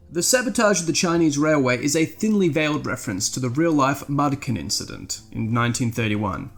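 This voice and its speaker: A man delivers a scripted, essay-style narration at a medium pitch. His intonation moves widely up and down, and his phrasing is formal and literary, articulated as a podcast host would for a considered review. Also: fairly bright tone.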